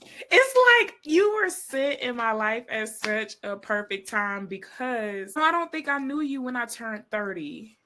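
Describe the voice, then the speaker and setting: High-pitched woman, 30s, podcast co-host, expressive storyteller in casual chat. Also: unhurried. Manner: storytelling, expressive, quotes people in voices.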